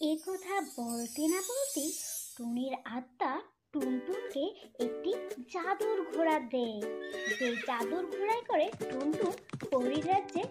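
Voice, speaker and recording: A woman's voice: sits high, big pitch swings, a little slow. A woman telling a scripted children's story as the narrator. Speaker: storyteller and channel host. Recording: music playing underneath.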